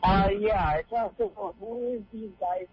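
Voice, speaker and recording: High-voiced male caller on a tinny phone line. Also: measured pace. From Hawaii. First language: Hawaiian. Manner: emphatic, repetitive, indignant questions.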